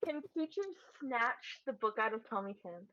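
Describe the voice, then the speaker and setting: A woman's voice: a little high, lively intonation, normal speed, pushed and bright. A woman playing a tabletop role-playing game, talking casually over a lo-fi microphone.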